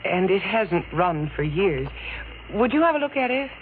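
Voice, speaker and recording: An actress playing a polite, genteel young wife in a 1940s radio drama, polished and expressive. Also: female voice, mid-range voice, moderate pace, close to an everyday voice. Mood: polite, light. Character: genteel newly married woman customer, polite and chatty. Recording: muffled, noisy old radio recording.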